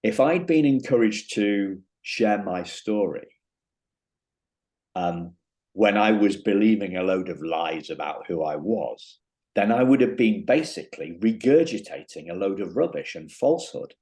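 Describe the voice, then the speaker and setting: A man hosting an interview podcast, talking in a halting way with many restarts and fillers. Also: lowish voice, lively intonation, a little mellow. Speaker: podcast host. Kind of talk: casual interview chat.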